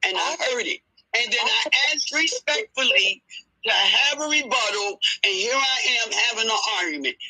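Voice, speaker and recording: A debater in their fifties with a very bright, tense voice and a dry, close sound. The delivery is assertive and pressing, as in a heated live discussion. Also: mid-range voice, lively intonation, normal speed, husky, dry recording, low-quality recording. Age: 50s. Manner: assertive, pleading to be heard. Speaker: panelist in a live audio discussion.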